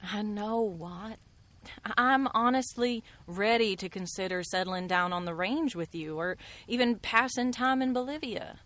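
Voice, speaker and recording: A woman's voice: mid-range voice, unhurried, fairly bright tone, natural voice. A woman playing a resolute frontier woman in a western radio drama, speaking earnestly and directly. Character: frank resolute frontier woman, the fugitive's companion.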